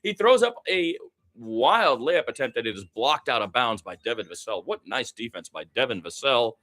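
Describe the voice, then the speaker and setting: A man giving live radio-style basketball play-by-play, excitable in fast action and conversational between plays. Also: medium pitch.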